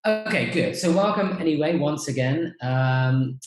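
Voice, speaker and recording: A man hosting a casual podcast chat on a video call, relaxed and chatty with frequent fillers. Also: fairly high pitch, a little slow. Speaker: podcast host, teacher trainer. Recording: roomy echo.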